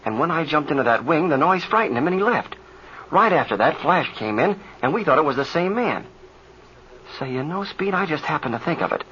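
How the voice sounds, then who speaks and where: Eager boyish pilot, bright voice. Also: male voice, fairly high pitch, animated, fairly quick. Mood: excited reasoning. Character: eager, earnest, boyish young male pilot. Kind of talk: 1930s radio serial acting. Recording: muffled, noisy old radio recording.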